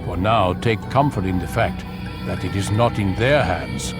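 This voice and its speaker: A man playing a stern, cryptic older master of an assassin order in a video game, measured and authoritative. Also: low-pitched, over loud music. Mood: measured, instructive. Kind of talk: dramatic video game acting.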